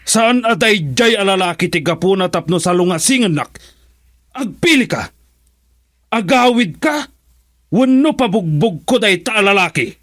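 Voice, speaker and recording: A domineering Filipino father in a radio drama, with a notably high voice. He speaks with scorn and menace, angrily laying down the law in a very dry studio sound. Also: animated, normal speed, a bit bright, dry recording. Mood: angry, threatening. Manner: scornful, threatening. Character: angry, domineering father opposing his daughter's marriage.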